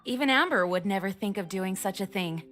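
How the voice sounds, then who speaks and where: A woman's voice: medium pitch, lively intonation, a bit bright, faint music behind, lightly acted. Woman acting earnest, formal young knight leader. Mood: composed, practical. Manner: formal, measured, sincere. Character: dutiful young female knight leader, earnest, self-critical. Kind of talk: video game character dialogue.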